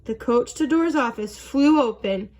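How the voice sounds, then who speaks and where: A woman's voice: a little high, lively intonation, measured pace, a little mellow. A woman reading a children's storybook aloud as a narrator.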